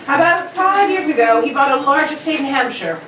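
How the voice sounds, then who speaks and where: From a little way off in an echoey room, a woman plays a brisk, self-assured detective in a radio mystery. The voice is fairly high and very animated, with formal, precise phrasing. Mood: explaining. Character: Sherlock Holmes, brisk, cheerful, self-assured male master detective. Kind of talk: 1940s radio drama read-through without British accent. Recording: roomy, distant mic, faint noise.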